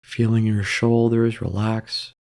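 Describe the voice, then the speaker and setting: A man leading a guided meditation in a calm, gentle, measured way, leaving long pauses between soft instructions. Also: fairly low pitch, gravelly.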